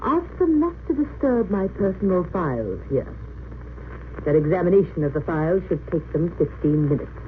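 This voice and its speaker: A tinny, noisy old radio recording of a quietly commanding nurse. She sounds dignified and firm, in measured vintage drama style. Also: lowish voice, very animated. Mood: firm, urgent. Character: composed, resolute English head nurse, quietly commanding. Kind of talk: 1930s radio drama acting.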